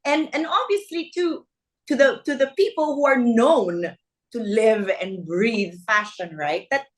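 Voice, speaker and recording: A woman's voice: a little high, a little slow. A woman, a fashion designer from the Philippines, talking casually in an interview. She tells her stories vividly, acts out quoted dialogue and often checks in with "okay?" and "right?".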